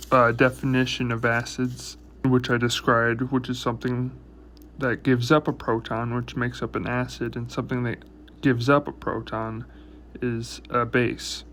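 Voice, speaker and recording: A man giving an informal explanatory lesson in a halting, deliberate way, with choppy phrasing. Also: medium pitch, flat delivery, fairly bright tone, slight background noise. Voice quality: speech impediment, trips over long words. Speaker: educational video host reviewing math and chemistry. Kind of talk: informal explanatory lesson, part read from notes.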